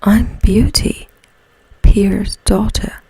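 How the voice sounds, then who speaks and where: A woman voicing a gentle, brave young woman in a breathy storybook whisper, tender and earnest. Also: mid-range voice, steady tone, extremely slow, no echo, voice pushed up, exaggerated voice, low-quality recording. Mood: nervous, defiant.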